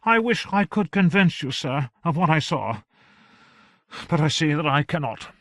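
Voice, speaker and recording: A man voicing a troubled gentleman visitor who speaks earnestly and solemnly, in a storybook reading. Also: a little high, expressive, moderate pace, no room sound, clean studio sound, pitched above the performer's own voice.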